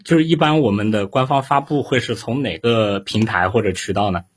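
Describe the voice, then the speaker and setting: Husky man hosting a livestream. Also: fairly low pitch, brisk. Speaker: livestream host. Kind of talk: casual livestream interview.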